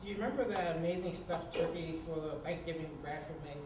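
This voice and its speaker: A man's voice: fairly high pitch, fast, close to an everyday voice. A man acting an exasperated, sarcastic housemate of about thirty in a staged screenplay reading. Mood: wry. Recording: echoey, noisy room from far off.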